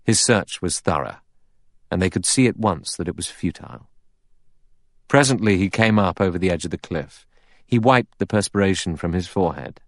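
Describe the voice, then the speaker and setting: Deep voiced guy reading a novel, audiobook narrator, very dry recording. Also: expressive, normal speed, no room sound. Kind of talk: scripted literary narration.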